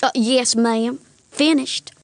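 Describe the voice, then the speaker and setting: A woman playing an earnest, literal cartoon jury foreperson who over-explains haltingly. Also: on the high side, fairly even intonation, no room sound, studio quality. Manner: halting, literal, long-winded.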